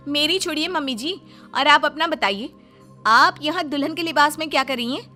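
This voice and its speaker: An indignant, reproachful daughter-in-law acted by a woman in a melodramatic family story. The voice runs fairly high and insistent, with music softly behind it. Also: moderate pace. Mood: shocked, indignant. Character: indignant, scolding daughter-in-law worried about family honour. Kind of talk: melodramatic Hindi story acting.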